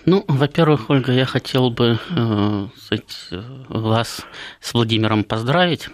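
A man's voice: mid-range voice, measured pace, no room sound. A man in his early fifties speaking as a political commentator, unscripted and wandering through asides with many hesitations. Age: early 50s. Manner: discursive, hesitant, full of long hesitations and parenthetical asides. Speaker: political commentator, guest on a talk show. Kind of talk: unscripted political analysis with anecdotes.

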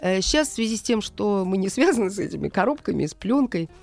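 A woman's voice: mid-range voice, big pitch swings, fast, creaky, a little mellow. Woman film director doing an interview, explains stuff w everyday examples, bit of music in there. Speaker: animation film director, studio guest.

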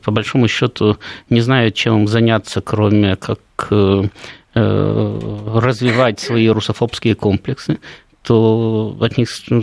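A man's voice: mid-range voice, vocal fry, no room sound. A man speaking as a studio guest on a political talk show, hesitant with many fillers and restarts.

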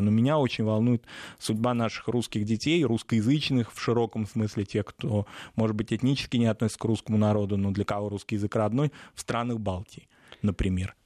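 A man speaking in a studio discussion, measured and emphatic, his pitch rising on key points. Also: darkish tone, no room sound.

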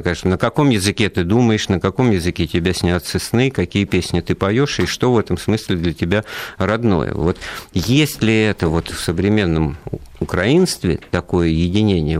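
Deep-voiced male radio host. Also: darkish tone, dry recording. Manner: thoughtful, hesitant, with frequent fillers. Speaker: radio talk show host. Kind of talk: live radio talk show discussion. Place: radio studio.

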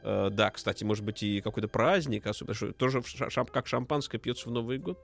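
A man's voice: on the low side, quick pace, vocal fry, faint music behind. A man hosting a live radio talk show, chatting playfully with a guest and hesitating with fillers. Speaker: radio host.

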